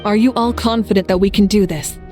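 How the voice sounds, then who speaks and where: An actress voices a noble game leader, a warrior woman with a medium-pitched voice. She sounds solemn and steadfast, with music underneath. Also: steady tone, fairly quick, darkish tone, close to an everyday voice. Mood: resolute. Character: dignified, resolute female leader and warrior. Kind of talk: video game voice acting.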